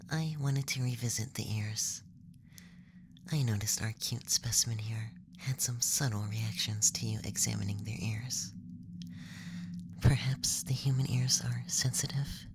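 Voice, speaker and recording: A man playing a curious young alien examiner in a whispered ASMR roleplay, speaking in measured, scientific phrases close to the microphone. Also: medium pitch, noisy background, close to an everyday voice. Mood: curious, clinical.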